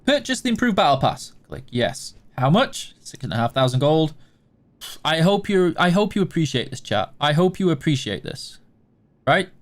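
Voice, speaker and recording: A Yorkshire man with a fairly high voice chats through a live game stream, fielding viewer questions one after another. He is casual and self-deprecating, often joking at his own expense. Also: sing-song, moderate pace. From Yorkshire, England. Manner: chatty, self-deprecating, hums between answers.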